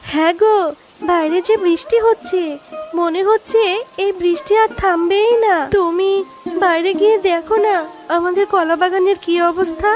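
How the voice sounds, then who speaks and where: A woman voicing a caring, helpful fox wife and mother in a cartoon, earnest and gently urging. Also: very high voice, fairly even intonation, moderate pace. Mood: earnest concern. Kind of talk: cartoon dialogue. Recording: music behind the voice.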